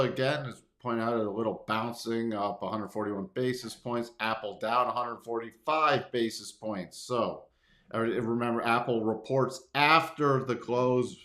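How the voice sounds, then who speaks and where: A man's voice: medium pitch, fairly bright tone, reverberant. A man hosting a casual live market commentary stream, conversational and repeating key phrases for emphasis. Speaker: livestream host, market analyst.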